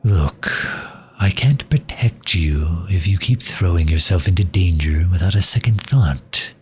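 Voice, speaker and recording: A man playing a loyal, sharp-tongued mage advisor in an ASMR roleplay drama, with a dry, sardonic, drawn-out delivery. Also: low-pitched, animated. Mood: exasperated.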